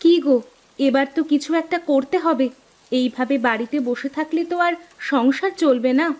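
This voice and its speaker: Female voice actor, cartoon housewife, high voice, coaxing, insistent, practical, optimistic. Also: normal speed, darkish tone, lightly acted. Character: practical, optimistic village housewife urging her husband. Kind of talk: dramatised cartoon dialogue.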